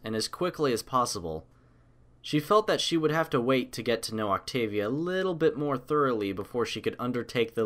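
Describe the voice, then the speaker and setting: A man's voice: lowish voice, a bit bright. A man reading scripted story narration as the narrator of an audio tale.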